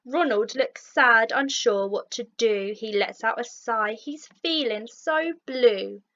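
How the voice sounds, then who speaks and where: A woman with a fairly high voice reads a rhyming picture book aloud like a teacher. Her delivery is slow and expressive, and the recording sounds a little dull. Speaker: teacher reading a story. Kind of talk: children's rhyming storybook narration.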